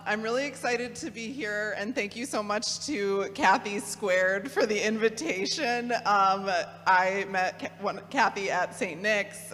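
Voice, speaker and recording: A woman's voice: medium pitch, a bit bright. A woman in her thirties with an American Midwest accent, giving a friendly, chatty talk as a guest speaker with frequent ums. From Michigan, USA. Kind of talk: casual, personable lecture. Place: parish hall or church. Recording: long reverb of a church hall.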